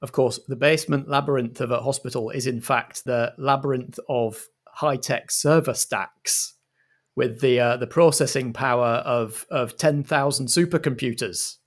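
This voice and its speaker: A man with a middling pitch narrates and directs an improvised drama. He moves between storytelling and instructions to the cast. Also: fairly even intonation, moderate pace.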